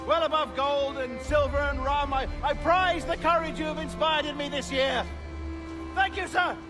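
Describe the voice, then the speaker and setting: An actor playing a genteel, timid, earnest gentleman turned hapless pirate in a video game, speaking in a polite, fussy, flowery way. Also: very high voice. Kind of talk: cinematic video game acting.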